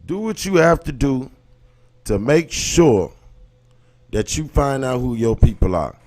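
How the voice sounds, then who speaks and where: A man's voice: mid-range voice, sing-song, measured pace, darkish tone. A man with an American accent and a mid-range voice talks straight to his livestream audience. His delivery is colloquial and emphatic, an impassioned casual commentary built on rhetorical questions put to the viewers. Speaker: livestream host.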